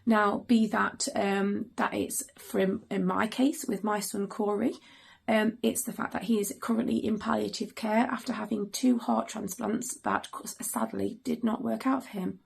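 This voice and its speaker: A middle-aged mother with a hoarse voice, hosting a serious vlog in a hesitant, earnest way with many pauses and ums. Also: mid-range voice, level tone. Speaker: mother and channel host.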